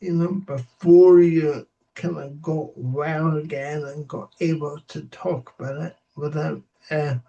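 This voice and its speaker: Slow gravelly male host, distant mic. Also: fairly high pitch, steady tone, mellow. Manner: slow and sympathetic, with many short affirmations. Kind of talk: interview conversation. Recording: distant, slightly muffled mic.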